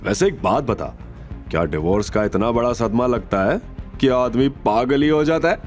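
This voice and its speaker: A man's voice: medium pitch, animated, moderate pace, darkish tone, faint music behind, lightly acted. A man playing a stern, threatening police officer of about forty in an audio drama, commanding and mocking. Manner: commanding, intimidating, informal address. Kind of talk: audio drama character acting.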